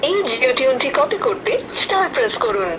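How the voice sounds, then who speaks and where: A woman's voice: sits high, big pitch swings, a little fast. A woman reading a recorded automated announcement for a phone network. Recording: dull, noisy phone line.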